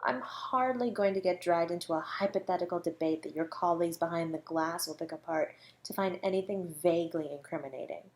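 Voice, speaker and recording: A woman's voice: lowish voice, a little mellow, natural voice. Woman acting a flirty, mocking female murder suspect. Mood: scornful. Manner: teasing, condescending, theatrical, drawn-out words. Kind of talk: scripted audio roleplay monologue.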